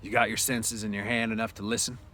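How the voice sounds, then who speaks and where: A man voicing a steady, commanding ranch hand with plain, folksy phrasing, in a storybook reading. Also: fairly low pitch, fast talker, a little hiss or hum. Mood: firm, quiet.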